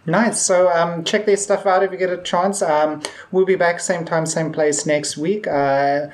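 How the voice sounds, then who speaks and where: A man's voice: high-pitched, steady tone, unhurried. A man hosting a podcast in casual chat, speaking in a hesitant, rambling and tentative way. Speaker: podcast host.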